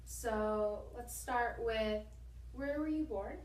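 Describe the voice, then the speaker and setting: A woman's voice: on the high side, takes it slowly, darkish tone. A woman interviewing an elderly couple, asking short questions and reacting encouragingly. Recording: echoey room, mic far off.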